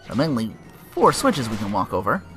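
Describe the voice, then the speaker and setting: Bright-voiced man rambling through a game. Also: on the low side, huge pitch swings, brisk. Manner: rambling, self-correcting. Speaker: let's player, host. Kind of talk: casual gameplay commentary with swearing. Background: faint game music.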